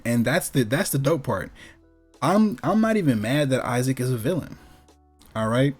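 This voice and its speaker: A man's voice: medium pitch, a little mellow, breathy edge. A man hosting a gaming talk show, chatting casually in an emphatic, opinionated, slangy way with plenty of swearing. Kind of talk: casual chat about video game movies and anime.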